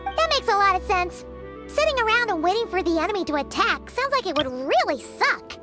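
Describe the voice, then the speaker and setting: A woman voicing a tiny, childlike fairy companion in a video game, excitable, blunt and chatty, full of exclamations. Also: extremely high pitch, fairly quick, music in the background. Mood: curious. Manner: exclaiming, chatty, refers to herself by name.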